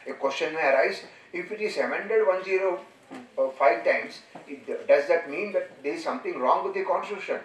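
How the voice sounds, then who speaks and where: A man with an Indian accent giving a formal lecture in an earnest, discursive and halting way. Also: a little high, slight background noise. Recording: echoey room, distant microphone.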